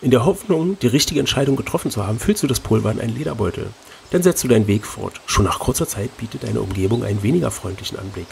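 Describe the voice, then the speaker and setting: Mann mit knarrender Stimme, der vorliest. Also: male voice, medium pitch, animated, fast, creaky, a little mellow, slight background noise. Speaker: host, reader of an adventure gamebook. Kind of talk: expressive book narration with casual chat.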